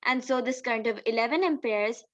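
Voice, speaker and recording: High voiced lady doing a tutorial, teacher type, explains stuff step by step, kinda muffled lo-fi mic. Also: fairly quick. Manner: step-by-step explaining, rhetorical questions. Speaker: physics teacher. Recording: dull lo-fi microphone sound.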